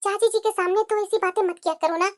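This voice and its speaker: A woman's voice: very high-pitched, steady tone, fast. A woman voicing an outspoken, caring young married daughter in a family cartoon, earnest and protesting. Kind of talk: Hindi family cartoon dialogue.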